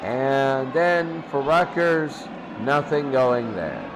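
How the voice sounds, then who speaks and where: A man hosting a sports show, giving off-the-cuff football score commentary in clipped fragments with pauses. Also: medium pitch, sing-song. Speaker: sports studio host. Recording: clearly noisy background.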